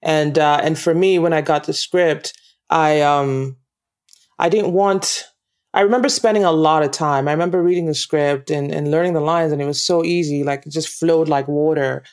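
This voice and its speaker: Nigerian woman, interview guest, smooth resonant tone, thoughtful with frequent ums. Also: low voice, fairly even intonation, normal speed. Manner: warm, reflective, laughs easily, many ums. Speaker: actress guest. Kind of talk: casual interview chat.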